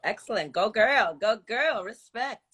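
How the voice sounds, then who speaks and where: A woman with a medium-pitched voice hosts a casual livestream interview. She sounds conversational and supportive, dropping in short affirmations and putting questions to her guest in an informal way. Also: lively intonation, measured pace, fairly bright tone, husky. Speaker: interview host. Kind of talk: casual live interview hosting. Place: video livestream call.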